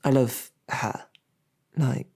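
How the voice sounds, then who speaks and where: A woman playing a lovestruck royal knight in a romantic fantasy audio roleplay, sweetly awkward, halting and playfully snarky. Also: a little mellow. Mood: flustered, bashful. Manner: halting, flustered, rambling.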